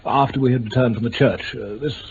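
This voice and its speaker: An actor with an upper-class English accent playing a stiff, haughty lord, hesitant and condescending, in mid-century radio drama style. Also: male voice, mid-range voice, fairly even intonation, brisk, close to an everyday voice. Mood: embarrassed. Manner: hesitant, pompous, formal. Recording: dull, hissy old radio recording.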